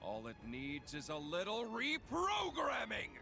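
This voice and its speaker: An actor playing a menacing, sneering villain in a video game, taunting and gloating theatrically. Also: male voice, wildly swooping pitch, a little slow, vocal fry, music in the background, heavily acted. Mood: amused scheming. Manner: taunting, mocking, swinging from low drawl to shouts. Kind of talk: dramatic video game voice acting.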